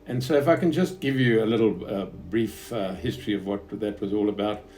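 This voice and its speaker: A man's voice: on the low side, a little mellow. A man in his seventies from Zimbabwe, with a Southern African accent, telling his life story off the cuff in a hesitant, reflective way. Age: 70s. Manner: hesitant, reflective, halting phrasing. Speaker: businessman recounting his life. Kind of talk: unscripted autobiographical storytelling to an interviewer.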